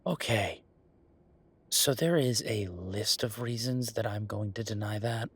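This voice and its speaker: A man playing an exasperated, firm werewolf bureaucrat in an audio roleplay, talking emphatically in a dry, husky voice. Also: on the low side, a little slow. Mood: incredulous, appalled. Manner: exasperated, emphatic, repeating himself. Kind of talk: audio roleplay acting, one side of a phone call.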